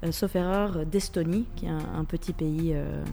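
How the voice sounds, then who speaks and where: A woman's voice: deep voice, moderate pace, vocal fry, warm tone. A woman from Switzerland with a Swiss French accent, a radio guest who talks with many hesitations and restarts. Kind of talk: radio interview.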